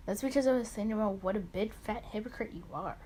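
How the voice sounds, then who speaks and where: A woman reads as a wry, caring male detective telling his own story in a visual novel. The delivery is dry, at an average female pitch, over faint background noise. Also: fast talker, warm tone, close to an everyday voice. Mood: wry, amused.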